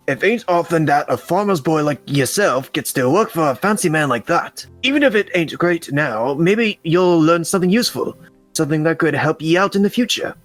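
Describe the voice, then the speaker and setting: A man's voice: fairly high pitch, lightly acted. Guy doing a kindly old dockhand for a visual novel, rustic talk, fatherly, voice swings up and down. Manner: folksy dialect, encouraging. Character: rough, kindly older male dock worker, folksy and fatherly. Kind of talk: visual novel character voice reading.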